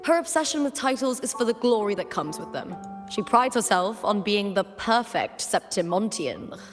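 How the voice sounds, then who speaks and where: A woman voicing a frank, confiding young female gladiator in a video game, explaining things in a measured, storylike way. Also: mid-range voice, very animated, big-room sound, over music, lightly acted. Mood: matter-of-fact.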